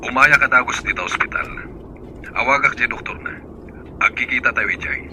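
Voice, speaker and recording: Radio drama father: commanding employer, intensely tense bright tone, mid pitch, slight noise. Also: brisk, rough recording. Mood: urgent, commanding. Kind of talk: Ilocano radio drama acting.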